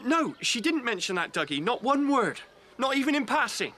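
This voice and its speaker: Agitated bloke having a go, high sharp voice, urgent, outdoors w faint noise. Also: male voice, very animated, normal speed, exaggerated voice. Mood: accusing. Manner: urgent, confrontational. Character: agitated, accusing, hurt male friend. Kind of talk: naturalistic radio drama acting.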